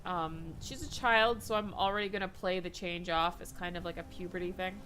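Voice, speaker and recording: A young woman gaming vlogger chats while she plays, tired yet excitable and quick to poke fun at herself. Her voice is bright and forward. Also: lowish voice, slight background noise, rough recording. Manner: excitable, chatty, self-deprecating. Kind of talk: casual let's-play chat.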